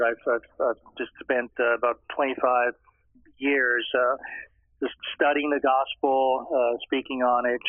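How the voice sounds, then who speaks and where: A middle-aged man, answering interview questions in a hesitant way with many pauses and restarts. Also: medium pitch, dry recording. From Austria. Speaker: guest scholar. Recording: tinny phone line.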